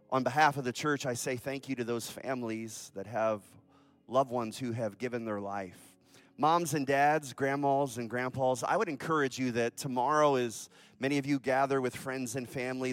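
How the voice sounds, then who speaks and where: A pastor leading his congregation in an earnest, solemn prayer and announcement during a church service. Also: medium pitch.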